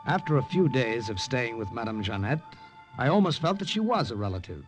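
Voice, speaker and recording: An actor playing a matter-of-fact American secret agent in a radio drama, with an American accent and casual delivery. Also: male voice, mid-range voice, sing-song, brisk, over music, natural voice. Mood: reflective. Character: plain-spoken American secret agent behind enemy lines. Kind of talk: 1950s radio drama acting and narration.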